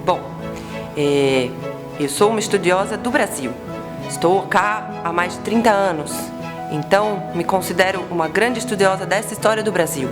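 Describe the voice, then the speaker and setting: A woman playing a new history teacher from Portugal who speaks with a European Portuguese accent and explains things in a formal, measured classroom manner. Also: medium pitch, over music, natural voice. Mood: explaining. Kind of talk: student short-film acting.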